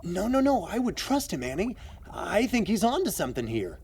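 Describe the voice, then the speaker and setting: A man playing an excitable, knowledgeable adventurer and supportive friend in an audio drama. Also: high-pitched, normal speed, background noise. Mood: assured. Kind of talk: scripted audio adventure acting.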